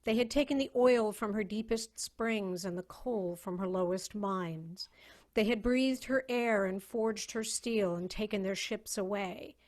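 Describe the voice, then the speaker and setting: A woman narrates with theatrical gravity. Her pace is slow and measured and her pitch sits in the middle, in a dramatic story reading recorded on a video call. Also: darkish tone.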